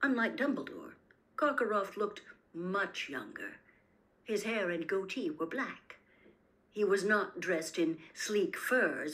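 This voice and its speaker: A woman's voice: medium pitch, a bit bright. A woman reading a story aloud as scripted audiobook-style narration.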